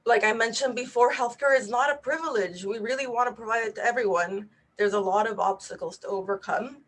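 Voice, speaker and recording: A woman's voice: fairly high pitch, fairly quick, rough recording. A woman in her thirties from Puerto Rico whose first language is Spanish, a physician giving an earnest, conversational webinar talk over a video call, with frequent fillers. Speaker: physician panelist. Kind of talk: webinar presentation.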